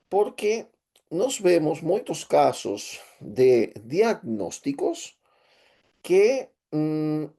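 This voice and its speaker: A man with an Argentine Spanish accent, a native Spanish speaker, giving an online lecture in a hesitant way with many fillers and pauses. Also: on the high side, gravelly, warm tone. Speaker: teacher and therapist. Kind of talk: online lecture with shared screen.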